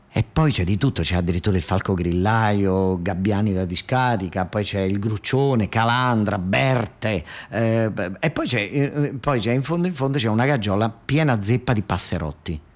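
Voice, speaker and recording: A man with a fairly low voice and a southern Italian accent delivers a theatrical monologue for radio. He speaks colloquially and emphatically, halting and repeating phrases as he builds the story. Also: animated, darkish tone.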